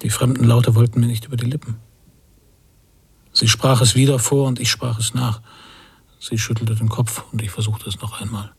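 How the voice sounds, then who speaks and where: Tired brooding man in his fifties, radio play, gravelly creaky voice, really husky. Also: mid-range voice, little pitch movement, moderate pace, pauses often, darkish tone. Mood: frustrated. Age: 50s. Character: weary man of fifty, an emigrant struggling to learn English. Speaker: actor. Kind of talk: literary radio drama monologue.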